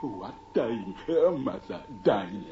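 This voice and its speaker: An actor playing a gleeful, sinister old manservant in a radio horror drama, obsequious and chuckling, speaking broken English. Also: male voice, mid-range voice, big pitch swings, takes it slowly, background noise. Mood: fawning, gleeful. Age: older. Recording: distant, echoey, muffled old radio recording.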